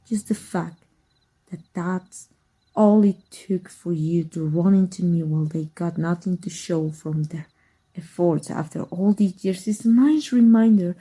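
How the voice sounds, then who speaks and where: A sly former magic teacher with dark powers, played by a woman from Mexico. Her voice is very dark and mellow, breathy at the edges, and she drifts along at an unhurried crawl. She half-whispers, low and teasing, close and intimate in the ASMR manner. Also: low-quality recording. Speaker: voice actor. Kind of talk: ASMR roleplay.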